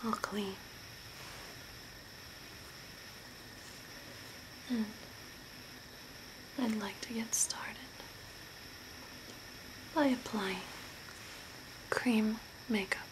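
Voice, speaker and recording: A young woman playing an eerie, courteous zombie in a slow, deliberate, breathy ASMR whisper. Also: a little high, fairly even intonation. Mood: soothing, attentive. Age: young adult. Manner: slow, deliberate, softly spooky. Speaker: ASMR role-play performer. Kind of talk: whispered ASMR role play. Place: small room indoors by a curtained window. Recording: clear background noise, ambient hiss.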